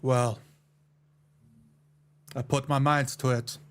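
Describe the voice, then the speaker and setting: A man at a tabletop game describes his character's moves very slowly, in short deliberate phrases. His pitch stays flat and medium, giving the action a measured drama. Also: clear, resonant tone.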